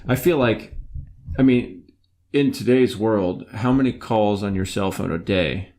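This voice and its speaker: A man in his thirties with a fairly low voice hosts a casual podcast. He is easygoing and lets his questions ramble on before he reaches the point. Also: a little slow, darkish tone. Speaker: podcast host. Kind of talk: casual chat.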